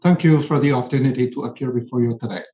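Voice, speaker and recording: A man's voice: mid-range voice, racing, mellow. Mature male professor, Chinese accent, formal personal testimony, dull echoey recording. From China. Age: middle-aged or older. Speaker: physics professor, witness. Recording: muffled, echoey room sound.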